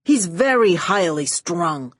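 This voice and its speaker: A woman's voice: fairly low pitch, big pitch swings, slow pace, no room sound, full character voice. In this audiobook a woman plays a male movie studio boss. He is effusive and shifty, talking in slangy, confiding phrases. The sound is close and dry.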